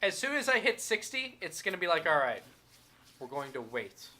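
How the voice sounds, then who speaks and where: A man's voice: high-pitched, very animated, moderate pace, pushed and bright. A young man co-hosting a casual podcast, throwing in playful jokes and teasing remarks. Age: young adult. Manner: joking, playful interjections. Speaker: podcast co-host. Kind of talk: casual chat among friends.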